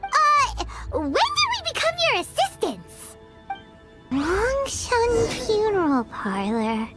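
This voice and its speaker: A woman voicing a tiny, naive and blunt fairy companion in a video game, with a squeaky childlike voice. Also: huge pitch swings, slow pace, full character voice. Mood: baffled, uneasy. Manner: speaks of herself in third person, puzzled. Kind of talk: cartoonish video game character acting. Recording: game music behind the voice. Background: game music.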